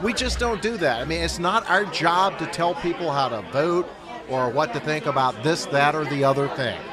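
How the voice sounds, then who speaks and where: A middle-aged man with a slightly high voice answers interview questions calmly and informatively, in the manner of a veteran broadcaster. Clear crowd noise sits behind him. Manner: measured, informative. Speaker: radio broadcaster guest.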